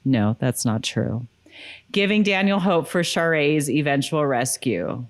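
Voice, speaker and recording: A woman's voice: low-pitched, expressive, slow. A woman co-hosting a podcast, moving between casual chat and reading a written recap. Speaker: podcast co-host.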